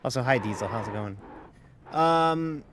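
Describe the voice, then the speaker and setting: A man's voice: mid-range voice, animated, measured pace, fairly bright tone, slight background noise. A man streaming a sim race, chatting casually in a rambling, self-mocking way, full of fillers and casual swearing. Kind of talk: casual live-stream chat while racing.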